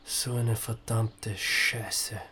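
A laconic amateur sleuth. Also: male voice, fairly low pitch, steady tone, extremely slow, sharp tone, a little hiss or hum, heavily acted. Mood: dawning dismay. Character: laconic, laid-back male amateur sleuth, worried. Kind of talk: radio drama acting.

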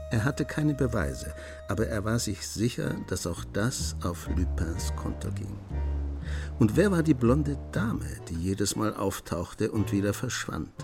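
An actor playing a writer who narrates a radio play in a dramatic, intimate manner, savouring his pauses. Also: fairly low pitch. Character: male writer-narrator, absorbed admirer of a gentleman thief.

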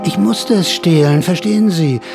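A man's voice: sits high, normal speed, vocal fry, over music. An actor playing a sly older counterculture veteran who narrates his memories in a radio drama, confiding, wry and theatrical. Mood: confessional, ironic.

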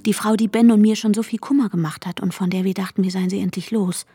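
An actress playing an anxious, controlling woman searching for her missing fiancé in a radio drama, intense and hushed, in short clipped sentences. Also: mid-range voice, steady tone, quick pace, breathy edge, dry recording. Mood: tense, brooding. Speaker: protagonist and narrator. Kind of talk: radio drama inner monologue and dialogue. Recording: music under the voice. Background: music.

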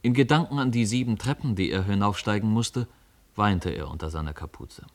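A man narrating a literary radio play in a measured storytelling manner. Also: fairly low pitch.

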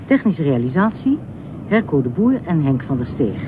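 A man's voice: fairly high pitch, big pitch swings, moderate pace. A man announcing the credits of a radio play in a scripted style. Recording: narrow phone-like old radio recording, background noise.

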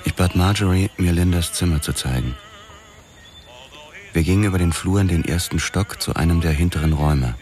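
An actor narrating as a weary, sardonic police detective in a radio drama, with dry and clipped delivery. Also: male voice, sits low, fairly quick, darkish tone, faint music behind, close to an everyday voice.

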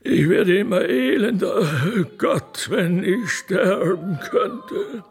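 A man's voice: high-pitched, expressive, gravelly, full character voice. A man voicing a decrepit, ancient God the Father, forgetful and given to wailing and blustering, in a theatrical radio play. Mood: lamenting, self-pitying. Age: very old. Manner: drawn-out, lamenting, blustering.

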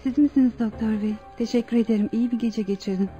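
An actress playing a timid young hospital patient who clings to others, polite and pleading, in a Turkish radio play. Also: female voice, a little high, steady tone, fast talker, natural voice. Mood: polite, subdued. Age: young adult. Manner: soft-spoken, polite, pleading. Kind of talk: Turkish radio theatre acting. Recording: muffled old radio drama with music. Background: music.

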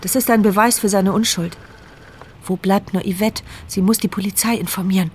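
An actress voicing a frightened woman in a wheelchair who narrates her own ordeal in a hushed, tearful first-person radio-play monologue. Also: mid-range voice, steady tone, fairly quick, slight background noise, close to an everyday voice. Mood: anxious. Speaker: narrator and lead character. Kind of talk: radio drama inner monologue. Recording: radio play with loud music bed.

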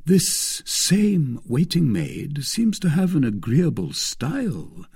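A sly young friend voiced by a man reading a storybook who needles with feigned carelessness. The pitch is raised and very animated, with a light husky rasp to the tone. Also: unhurried, exaggerated voice. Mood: careless. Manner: teasing, mock-casual. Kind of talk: acted dialogue in a storybook reading.

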